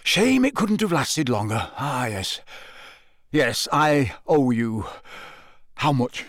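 A man acts out a genial storybook wizard with a hoarse voice. He mutters ruefully and rambles in short phrases, in a close, dry recording. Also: medium pitch, big pitch swings, slow pace, fairly bright tone, no room sound.

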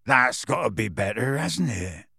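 A man voicing a gruff, kindly giant groundskeeper in a storybook reading, with halting, folksy, colloquial speech. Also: medium pitch, sing-song, sharp tone, dry recording, studio quality, exaggerated voice. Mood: soft, sombre.

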